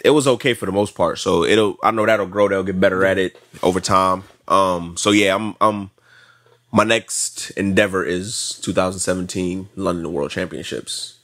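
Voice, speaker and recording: A man's voice: low voice, fairly even intonation, moderate pace, a bit bright. A man in his late twenties with an American accent, chatting casually as an interview guest, repeating himself for emphasis. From South Florida, United States. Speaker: podcast guest, track athlete.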